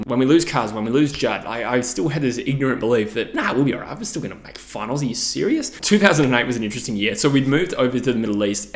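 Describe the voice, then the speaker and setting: A man of thirty, talking casually to his channel, reflective and full of "you know" fillers. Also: mid-range voice. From Thailand. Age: 30s. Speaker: YouTube channel host. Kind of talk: casual vlog monologue.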